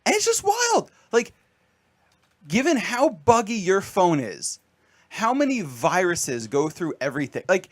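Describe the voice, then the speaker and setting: A man's voice: high-pitched, wildly swooping pitch, a little slow. A man co-hosting a casual radio talk show, explaining news with a wry, riffing manner. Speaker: co-host.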